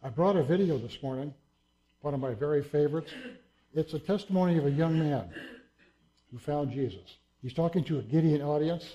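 A man's voice: fairly high pitch, a little fast, warm tone. A man presenting at a church gathering, earnestly reading a scripture verse aloud and introducing a video. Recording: dull lo-fi sound.

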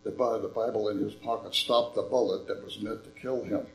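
An older man speaking in a church, making an earnest, folksy appeal with a slightly hoarse voice. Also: fairly low pitch, steady tone, brisk, reverberant, low-quality recording. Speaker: visiting ministry speaker. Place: church sanctuary.

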